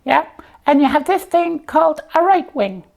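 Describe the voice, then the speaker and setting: A woman voicing a slipper puppet who hosts a comic show, explaining things simply and haltingly in a matter-of-fact way. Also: high voice, animated, vocal fry, a little mellow.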